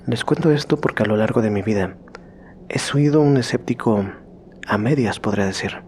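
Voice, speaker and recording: A man reading out a horror story in slow, hushed scripted narration that sometimes sinks to a whisper. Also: on the low side, a little hiss or hum.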